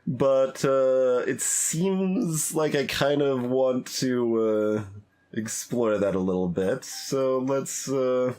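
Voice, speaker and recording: A man's voice: mid-range voice, slow pace, a little mellow. A man giving casual live commentary as he plays a game, hesitantly thinking aloud. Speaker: streamer playing a game. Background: game music.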